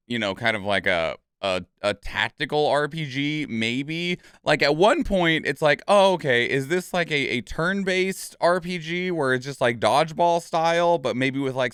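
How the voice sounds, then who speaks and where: A man's voice: on the high side, fairly bright tone, clean tone, dry recording, studio quality. A man hosting a reaction channel with casual commentary, rambling and stammering as he thinks aloud.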